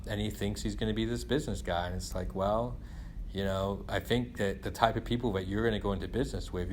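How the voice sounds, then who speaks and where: Middle aged guy venting casually, kinda low voice, rambles, noisy room recording. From born in England to Guyanese parents of Indian descent. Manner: rambling, repeats "you know" and "like".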